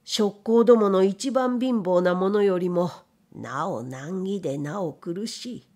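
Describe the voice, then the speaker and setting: Storybook role: proud, embittered elderly mill owner played by a woman, weary, creaky, unhurried. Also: medium pitch, warm tone. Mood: weary, despairing. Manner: weary, old-fashioned elderly man's speech. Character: old blind factory owner, proud, bitter, grieving father. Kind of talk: dramatic literary reading.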